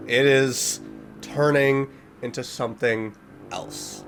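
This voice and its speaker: A man giving casual reaction commentary, reading aloud and reacting expressively, drawing out words for emphasis. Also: medium pitch. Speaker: reaction video host.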